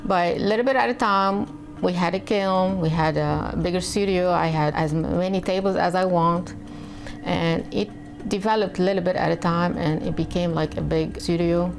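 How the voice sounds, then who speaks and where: A woman's voice: on the low side, moderate pace, gravelly. A woman from Lebanon with a Lebanese accent, a potter telling her personal story in an interview. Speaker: artist, potter. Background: faint music.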